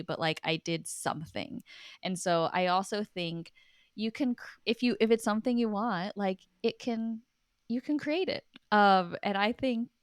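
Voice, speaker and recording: A woman speaking as a guest author in a casual, conversational interview, telling stories in an informal, animated way. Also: fairly low pitch, smooth, no echo, clean studio sound.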